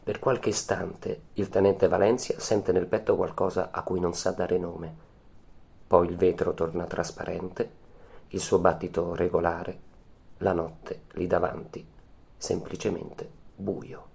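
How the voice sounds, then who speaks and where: A man's voice: deep voice, level tone, unhurried, vocal fry, darkish tone, husky. A man reading dramatic scripted narration for radio. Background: soundtrack music.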